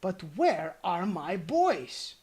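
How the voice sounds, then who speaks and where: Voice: mid-range voice, very animated, very slow, darkish tone, husky, full character voice. A storybook reader voicing a jovial, boastful old male goblin patriarch. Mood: merry, expansive. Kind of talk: storybook character voice.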